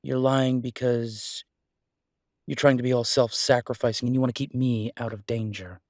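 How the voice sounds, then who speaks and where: A man's voice: mid-range voice, steady tone, darkish tone, no echo, natural voice. Man acting a protective, stubborn lover, close dry sound. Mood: fiercely determined. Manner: earnest, insistent, emphatic with pauses. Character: protective male werewolf detective, devoted, stubborn, exasperated lover. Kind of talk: dramatic roleplay audio acting, intimate one-sided dialogue.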